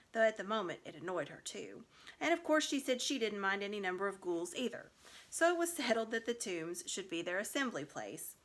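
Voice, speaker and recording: Female storybook narrator, lively intonation, bright clear-cut tone, expressive scripted reading. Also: mid-range voice. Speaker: storybook reader.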